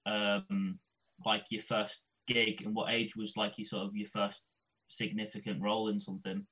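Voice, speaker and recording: A man's voice: fairly low pitch, level tone, moderate pace, sharp tone, breathy edge. A man from the group asking a hesitant question about auditions, full of ums and likes.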